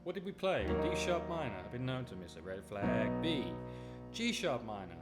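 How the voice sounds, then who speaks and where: A man's voice: mid-range voice, sing-song, normal speed. A man teaching a casual piano tutorial, explaining chords and counting beats aloud, with a slight cold coming on. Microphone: USB studio microphone. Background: piano playing.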